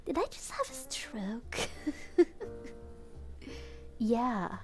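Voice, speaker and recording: Woman, higher-pitched voice, giggly streamer, casual comedic fanfic read-aloud, music in the background. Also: very animated, very slow, a little mellow. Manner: giggly, easily cracked up, acts out dialogue. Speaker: streamer reading fan fiction aloud.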